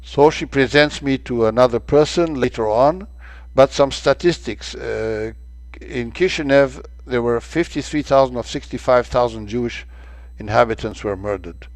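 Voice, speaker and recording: An older man from Romania giving a slide-show lecture about his travels, pausing often with fillers and restarting his sentences. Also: mid-range voice, no room sound. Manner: halting, many filler sounds and restarts. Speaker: presenter of a travel talk.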